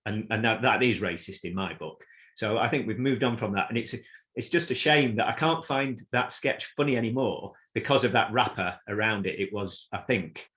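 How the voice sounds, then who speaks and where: A man in his fifties from south Manchester who speaks in a measured, reflective way as a podcast co-host, with hesitant ums. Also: lowish voice, fairly bright tone. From south Manchester, England. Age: 50s. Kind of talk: casual chat.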